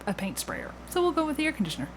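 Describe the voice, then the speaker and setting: A woman's voice: high-pitched, very animated, racing. A woman hosting a casual podcast interview, chatting in a conversational way. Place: indoor room at home next to a garage. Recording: buzzing air conditioner noise behind the voice. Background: air conditioner hum.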